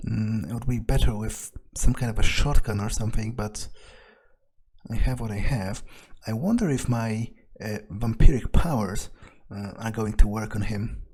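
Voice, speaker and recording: A man with a slightly husky voice, narrating a game playthrough in character as a wary vampire, muttering his thoughts hesitantly. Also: fairly low pitch, normal speed, darkish tone. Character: vampire agent, profane and wary, thinking aloud. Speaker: let's player speaking in character.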